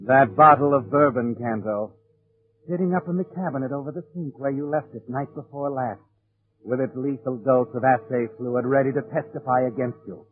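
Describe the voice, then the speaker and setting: An actor playing an ominous, taunting mystery narrator in 1940s radio suspense, dramatic and insinuating. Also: male voice, medium pitch, normal speed, lightly acted. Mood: taunting, accusing. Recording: tinny 1940s radio recording.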